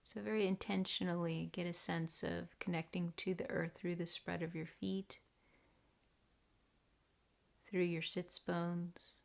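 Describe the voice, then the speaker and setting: A woman leading a guided meditation in a deliberate, gentle, hushed manner with long pauses. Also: on the low side, flat delivery, vocal fry. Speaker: meditation teacher.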